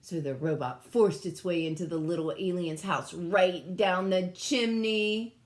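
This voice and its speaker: A woman reading a storybook aloud to children in a dramatic, emphatic, expressive way. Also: fairly low pitch, a little slow. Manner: dramatic, emphatic, engages listeners with questions. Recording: echoey room.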